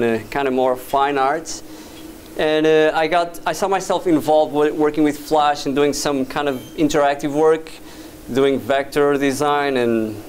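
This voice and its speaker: A man from Portugal with a slightly high voice talks to an audience in a dim lecture hall. He hesitates often, restarting his sentences. A camera at the back of the room catches him from a distance with a faint hum underneath. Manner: hesitant, many fillers and restarts. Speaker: guest speaker, VJ and doctoral student. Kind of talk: casual presentation to an audience. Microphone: far camera at back of room.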